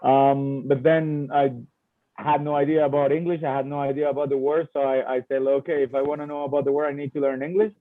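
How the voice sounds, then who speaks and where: A man's voice: medium pitch, flat delivery, brisk, no room sound. A Colombian man in his thirties, a native Spanish speaker, talking informally and presenting his company over an online call. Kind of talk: casual talk and informal presentation. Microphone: video call. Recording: muffled phone-like online call audio.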